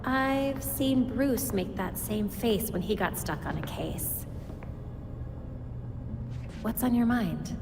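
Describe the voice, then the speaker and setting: An actress playing a sharp young vigilante investigator in a video game, with an American accent and a focused, inquisitive delivery. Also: female voice, mid-range voice, slow, long pauses, background noise. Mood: serious, thoughtful. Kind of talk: video game cutscene acting. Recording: long reverb, music behind.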